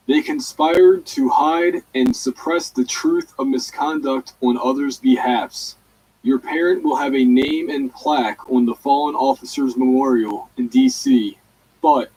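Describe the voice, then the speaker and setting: A man's voice: mid-range voice, mellow, husky, rough recording. A man reading a written document aloud in the manner of an audiobook reader.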